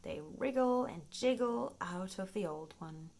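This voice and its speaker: A woman reading a children's nature book aloud in an expressive, playful storytime manner, paced for young listeners. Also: mid-range voice, unhurried. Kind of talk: read-aloud children's nonfiction storytime narration.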